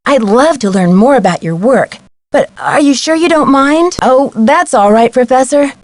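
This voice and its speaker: A woman's voice: sits high, lively intonation, normal speed, no echo. A woman playing a polite, inquisitive young female amateur detective in scripted video game dialogue. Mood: curious, persuasive. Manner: courteous, inquisitive, clearly enunciated.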